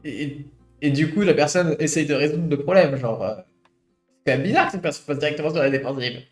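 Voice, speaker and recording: A man's voice: fairly high pitch, a little fast. Man, late twenties, streamer, reflective, echoey room, faint guitar music. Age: late 20s. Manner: reflective, thinking aloud with many fillers. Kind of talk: reading a study aloud with personal commentary.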